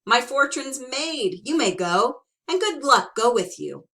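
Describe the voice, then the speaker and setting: Lady playing a cocky young farm lad, eager and boastful, bright and a bit higher. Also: big pitch swings, a little slow, full character voice. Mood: excited.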